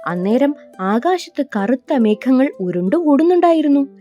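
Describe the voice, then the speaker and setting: High, mellow-voiced woman storyteller. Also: big pitch swings, moderate pace, lo-fi sound, soft background music. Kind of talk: scripted children's bedtime narration.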